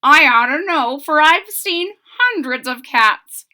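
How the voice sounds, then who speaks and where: A woman's voice: high-pitched, expressive, slow pace, pushed and bright, voice pushed up, heavily acted. A woman voicing a very old man in a storybook, earnest and marveling, with emphatic delivery. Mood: proud, emphatic. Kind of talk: storybook read-aloud character voice.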